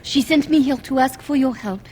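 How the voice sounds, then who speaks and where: A woman's voice: sits high, moderate pace, a little mellow, lightly acted. An actress playing an earnest, worried young handmaiden to a queen in a fantasy video game, telling things carefully and urgently. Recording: game audio with music underneath.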